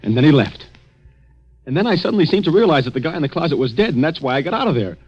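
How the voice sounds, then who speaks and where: Shaken, halting young American man, old radio. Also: a little high, very animated, a little mellow, breathy edge. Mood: anxious, confessional. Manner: halting, agitated, stammering. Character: shaken, anxious unemployed young man after a drugged night. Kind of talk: 1940s radio drama acting. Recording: dull, muffled old radio recording.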